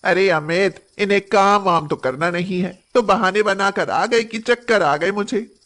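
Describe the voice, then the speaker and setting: A woman's voice: medium pitch, a little slow, a bit bright, close to an everyday voice. A woman playing a bossy, cruel young daughter-in-law in a moral story cartoon, with a nagging, sarcastic, haughty manner. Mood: dismissive.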